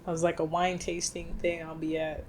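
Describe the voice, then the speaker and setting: A woman's voice: lowish voice, completely flat delivery, a little fast. A woman co-hosting a podcast, chatting informally and conversationally. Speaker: podcast co-host. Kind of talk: casual podcast chat. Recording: faint ambient room noise.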